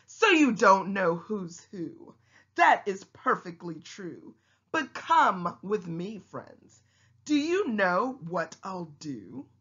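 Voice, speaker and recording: Lady doing a sly boastful salesman guy from a rhyming storybook, slow pitch swoops, dull lo-fi sound. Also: sing-song, fairly bright tone, exaggerated voice. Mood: sly, reassuring. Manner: smooth-talking sales patter, boastful. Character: sly, fast-talking male salesman peddling machines. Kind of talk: rhyming storybook read-aloud.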